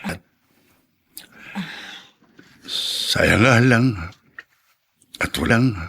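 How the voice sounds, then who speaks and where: An actor playing an ailing, tender old widowed father in a radio drama, speaking haltingly and sentimentally. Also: mid-range voice, animated, creaky, sharp tone, heavily acted. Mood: wistful. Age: older. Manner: halting, repeating phrases, sentimental.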